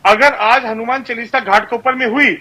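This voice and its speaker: A man with a very high-pitched voice and a sharp, forceful edge, speaking. He argues his case insistently as a debate panelist, driving points home through repetition. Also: steady tone, moderate pace. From India. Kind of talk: TV debate argument.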